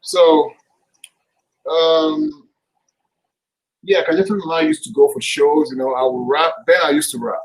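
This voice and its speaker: A middle-aged man, a guest actor chatting casually on a video call, relaxed and jokey. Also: on the high side, unhurried, creaky, long pauses, poor audio. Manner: relaxed, laughs often, jokey. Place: indoors, room with curtains. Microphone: webcam mic on video call.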